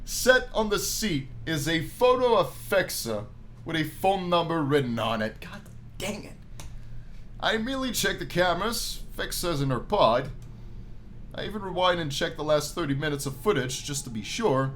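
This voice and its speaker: A man's voice: a little high, sing-song, moderate pace, fairly bright tone, a little hiss or hum. A man hosting a gaming video, reading a visual novel aloud and commenting casually in a playful, storytelling way.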